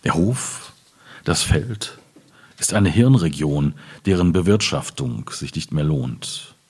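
A man speaking as a poet at a literary reading, in measured, clearly phrased German delivery. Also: extremely low pitch, big pitch swings, a little mellow. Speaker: poet reading his own work. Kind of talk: literary reading of poems with informal introduction.

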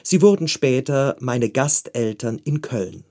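A man reads a magazine article aloud at an unhurried pace. His delivery is calm and measured, with a middle-pitched voice that stays steady from sentence to sentence. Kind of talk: scripted article reading.

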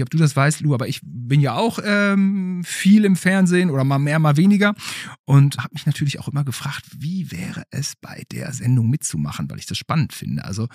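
A man's voice: mid-range voice, darkish tone, husky, no echo, clean studio sound. A man hosting a podcast, chatting casually in an interview with a child guest. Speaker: podcast host.

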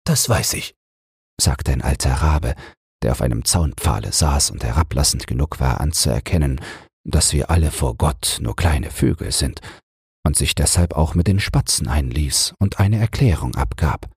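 A man reading a fairy tale as a bedtime audiobook in a measured, soothing storytelling manner. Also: extremely low pitch, darkish tone, breathy edge, dry recording, clean studio sound. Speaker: audiobook reader.

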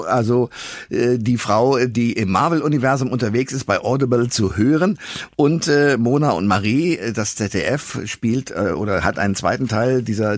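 A man's voice: medium pitch, no echo, clean studio sound. A man hosting a conversational radio interview, asking questions and introducing his guest.

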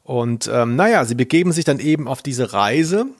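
A man's voice: medium pitch, lively intonation, moderate pace, no echo. Enthusiastic man podcasting, close dry sound. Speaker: podcast host, culture journalist. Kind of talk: casual podcast discussion.

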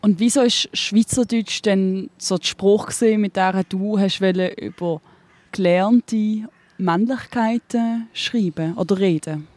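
A woman interviewer asking measured, articulate questions. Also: mid-range voice, a little mellow.

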